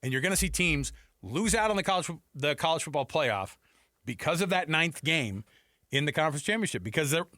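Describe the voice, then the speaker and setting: Casting: American male sports radio host, bright and punchy, dry studio mic, casual opinionated commentary with rhetorical questions. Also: fairly high pitch, big pitch swings, fairly quick, no room sound. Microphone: close studio headset mic.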